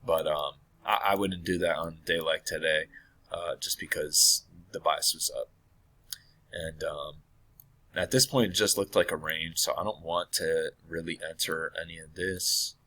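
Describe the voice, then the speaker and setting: Man, deep-voiced, hoarse from illness, casual thinking-aloud chart review with throat clearing. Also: fairly even intonation, unhurried, sharp tone. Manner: thinking aloud, hesitant with many fillers and self-corrections. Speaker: trading analyst.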